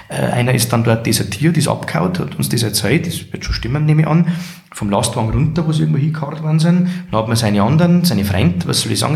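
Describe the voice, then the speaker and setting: A man's voice: medium pitch, gravelly. A middle-aged man with a Bavarian accent, a guest in an interview, earnest and reflective, telling anecdotes. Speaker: managing director of a care home, guest. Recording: echoey room.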